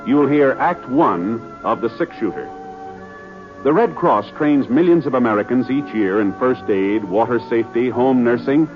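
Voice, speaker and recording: A male radio announcer giving a formal, measured introduction and public service announcement on a 1950s broadcast. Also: medium pitch. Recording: dull old radio broadcast with music.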